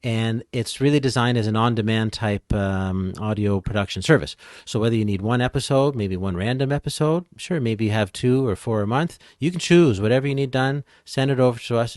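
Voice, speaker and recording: A man's voice: medium pitch, darkish tone, no echo, studio quality. A man speaking as an expert podcast guest, explaining and advising in a casual, easygoing conversational way.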